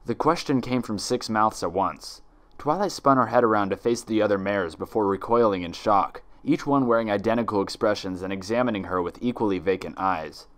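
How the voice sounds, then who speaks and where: Low-voiced man narrating dramatically. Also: a little fast. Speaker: fan fiction narrator.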